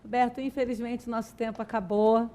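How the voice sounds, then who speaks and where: A woman with a Brazilian accent and a fairly high voice. She talks conversationally as a television interviewer and host. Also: little pitch movement, fairly quick, dark tone.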